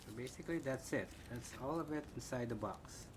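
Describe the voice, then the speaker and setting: A man with a Filipino accent giving a casual, halting unboxing commentary, thinking aloud as he goes. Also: medium pitch. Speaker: product reviewer. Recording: clear background room noise.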